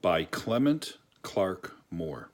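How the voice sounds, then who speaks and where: Slow, deliberate man reading a storybook poem. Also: sits low, sing-song, darkish tone. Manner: measured, deliberate phrasing with dramatic pauses. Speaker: reader, reverend.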